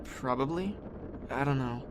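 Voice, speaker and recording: A man acting a blunt but earnest, headstrong teenage boy in a naturalistic audio drama, speaking informally and a little haltingly. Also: medium pitch, lightly acted. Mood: worried, determined. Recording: clear vehicle-like background noise.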